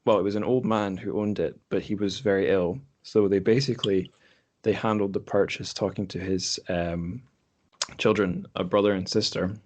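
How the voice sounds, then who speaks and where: A man with a deep, low voice hosts a relaxed podcast conversation. He talks informally, telling personal stories as if among friends. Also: normal speed, muffled recording. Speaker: podcast host. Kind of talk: casual chat and personal storytelling.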